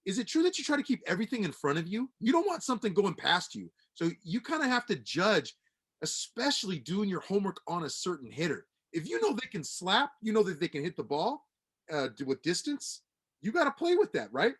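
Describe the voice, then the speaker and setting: A man hosting a sports interview, asking long, rambling questions with animated energy. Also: high-pitched, a little fast, breathy edge. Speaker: interview host.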